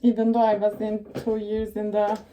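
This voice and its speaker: A woman's voice: mid-range voice, monotone, moderate pace, dark tone, a little hiss or hum. A young woman from Turkey with a Turkish accent, giving casual career advice in a rambling, chatty way full of fillers and self-corrections. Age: young adult. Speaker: tech professional giving advice.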